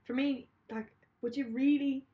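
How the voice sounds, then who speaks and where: A woman's voice: high-pitched, fairly even intonation, normal speed, phone-quality sound. A woman in a casual chat, blunt and laughing, swearing freely.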